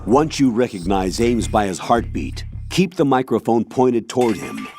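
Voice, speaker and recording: Composed military commander, faint music. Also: male voice, medium pitch, big pitch swings, darkish tone. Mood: firm, instructional. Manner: instructional, firm, deliberate. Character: composed male military commander briefing an agent. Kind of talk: video game mission briefing. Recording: faint game music behind the voice.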